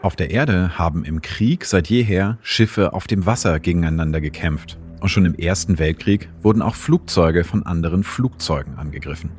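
A man with a deep voice narrates as a science host. His delivery is scripted, factual and carefully phrased, like a documentary, with soft music underneath.

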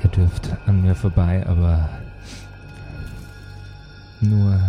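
A man voicing a huge, fat monster king in a tabletop audio play, menacing, hungry and slyly bargaining in slow, deliberate phrases. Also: deep voice, flat delivery, highs cut off, music in the background, voice pushed down.

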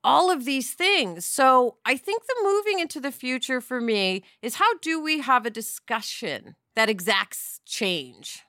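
A woman in her fifties from New York City talks through a podcast discussion with lively, opinionated energy. Her voice is bright and crisp, pitched a little high, and the recording is close and dry. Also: unhurried, hardly any pauses, no echo. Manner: animated, opinionated, jumps in. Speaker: podcast co-host. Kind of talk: casual discussion.

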